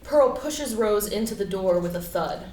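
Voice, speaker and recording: Female stage-directions reader, mid-pitched, scripted narration, echoey and away from the mic. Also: expressive, moderate pace, dark tone. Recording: echoey, distant mic, faint background noise.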